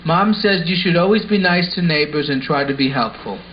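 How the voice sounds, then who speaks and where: An earnest, well-mannered neighborhood boy voiced by a man speaks in a high voice. He is eager to help and explains things simply, as puppet show dialogue on a muffled home recording with faint hiss. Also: animated, fairly quick, lightly acted. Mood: polite, earnest. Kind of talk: amateur puppet show acting.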